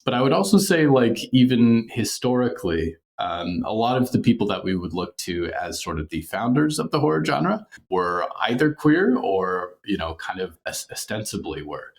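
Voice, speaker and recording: A man's voice: lowish voice, big pitch swings, moderate pace, creaky, few pauses. A man speaking reflectively as an interview guest, articulate and thoughtful, often qualifying what he says. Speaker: podcast creator and writer, interview guest.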